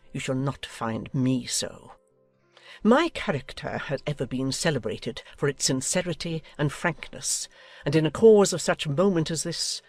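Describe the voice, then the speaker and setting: A woman's voice: a bass voice, no room sound, full character voice. A woman playing a haughty, imperious, ill-tempered older noblewoman in an audiobook drama, speaking in a stiff, formal, commanding way.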